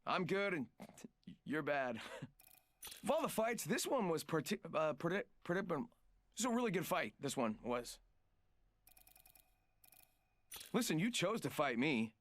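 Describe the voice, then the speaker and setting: A man's voice: on the high side, big pitch swings, normal speed, fairly bright tone, no room sound. An actor voicing a cocky, joking male trickster fighter in a video game, with smug, rambling asides. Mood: mock sympathy, then boastful, rambling. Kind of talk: video game character voice lines.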